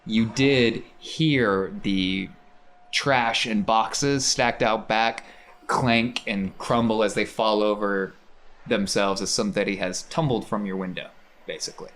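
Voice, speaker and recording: A man's voice: fairly low pitch, fairly even intonation, slow pace, a bit bright, a little hiss or hum. A man improvising narration as game master of a tabletop game, in a casual, conversational way.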